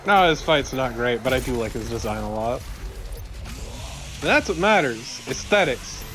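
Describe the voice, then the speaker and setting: A man's voice: medium pitch, expressive, moderate pace, background noise. A man doing casual, joking co-commentary on a video game, wry and sweary. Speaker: let's play co-commentator.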